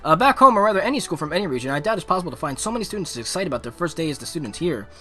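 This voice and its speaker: Excitable guy streamer, bright voice, swingy pitch, slangy game commentary w music behind. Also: very fast. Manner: excitable, casual slangy talk. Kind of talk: live gaming commentary mixed with reading game text aloud.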